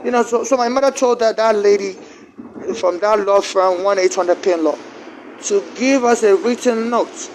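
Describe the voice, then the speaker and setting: A man with a Nigerian accent, whose first language is not English, tells his story in a fairly high voice. He stumbles, repeats words and restarts his sentences as he goes. Steady traffic noise runs loud behind him. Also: normal speed, low-quality recording. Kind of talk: personal grievance account.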